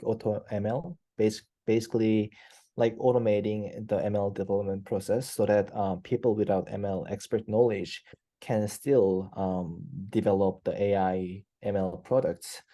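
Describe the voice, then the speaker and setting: A man's voice: fairly low pitch, dark tone. A young man in his twenties from South Korea with a Korean accent, answering interview questions hesitantly over a headset video call, with many fillers. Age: 20s. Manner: hesitant, many fillers and restarts, laughs easily. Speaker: PhD student guest. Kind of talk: casual interview chat. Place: office, indoors.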